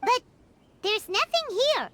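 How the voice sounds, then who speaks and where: Tiny game sidekick, super high and jumpy, chatters a lot. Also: female voice, big pitch swings, slow, noisy background, exaggerated voice. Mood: curious. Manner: high, bouncy, refers to herself in third person. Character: tiny chatty floating fairy-like companion girl. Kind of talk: video game dialogue. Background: loud game music.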